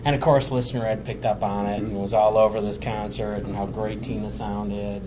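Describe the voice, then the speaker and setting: Chatty podcast host over background noise. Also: male voice, fairly low pitch, little pitch movement, normal speed. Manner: conversational, hesitant with fillers, reads a prepared roundup. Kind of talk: casual podcast chat and show recap.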